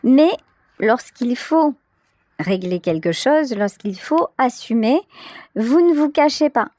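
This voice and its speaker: A woman giving a tarot reading straight to the listener, reflective and pausing to search for her words. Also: fairly high pitch, expressive, darkish tone. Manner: hesitant, reflective, searching for words with pauses and repetitions. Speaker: tarot reader, channel host. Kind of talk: intuitive tarot reading addressed to the viewer.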